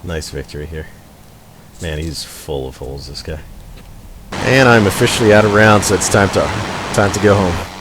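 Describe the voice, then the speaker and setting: A man's voice: sits low, normal speed, noisy background. A man giving casual, laid-back gameplay commentary as he plays, muttering reactions to the action. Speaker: gamer playing a flight simulator. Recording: loud engine-like drone behind the voice. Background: steady loud vehicle drone from game.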